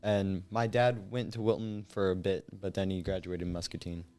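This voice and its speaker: Smooth, lowish-voiced American teenage guy. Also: flat delivery, normal speed, darkish tone. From Iowa, United States. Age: late teens. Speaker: high school senior guest. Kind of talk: casual chat.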